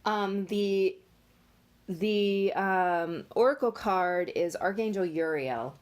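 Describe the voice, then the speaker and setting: A woman giving a casual tarot reading, talking in a nasal, congested voice with many pauses and fillers. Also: mid-range voice. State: congested from allergies. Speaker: tarot reader.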